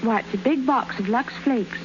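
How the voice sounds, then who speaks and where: A woman's voice: fairly high pitch, normal speed, a little hiss or hum, lightly acted. A woman playing a gracious, delighted young bride-to-be in a scripted 1930s radio advertisement. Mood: gracious, curious. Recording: muffled 1930s radio recording.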